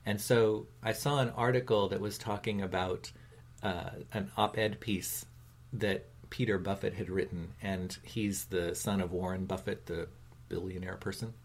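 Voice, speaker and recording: Low-voiced man leading a discussion. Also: steady tone, unhurried. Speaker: talk show host. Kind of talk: conversational discussion.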